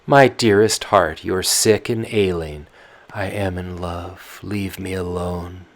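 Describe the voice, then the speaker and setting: A man's voice: sits low, fairly even intonation, slow. A man voicing a fussy, devout old peasant nurse woman who exclaims fretfully.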